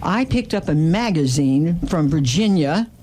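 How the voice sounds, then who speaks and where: An effusive woman hosts a live radio interview with a very lively, swooping delivery. She speaks emphatically and repeats phrases for effect. There is some background noise in the studio. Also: deep voice, measured pace. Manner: effusive, complimentary, emphatic repetition. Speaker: radio host interviewing guests. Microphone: studio microphone.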